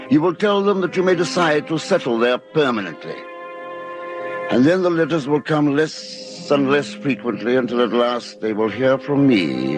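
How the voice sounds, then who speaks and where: Actor as coldly scheming husband, swinging dramatic delivery. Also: male voice, mid-range voice, lightly acted, low-quality recording. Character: scheming murderous professor husband, smooth and coldly calculating. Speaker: lead actor and narrator. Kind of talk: old-time radio suspense acting. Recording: old radio drama recording with music.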